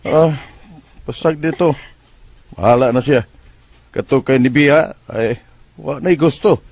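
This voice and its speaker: A man's voice: medium pitch, slow, a little mellow, dry recording. An actor playing a protective middle-aged father in a Bisaya radio drama, speaking insistently with a Cebuano accent. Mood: firm, concerned. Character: protective father advising his heartbroken grown daughter.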